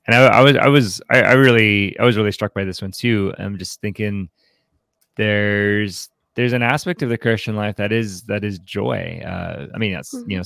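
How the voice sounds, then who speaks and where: Halting, low-voiced man with gravelly creak. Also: fairly bright tone, dry recording, clean studio sound. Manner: halting, reflective, repeats words. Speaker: podcast co-host. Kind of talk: casual book discussion.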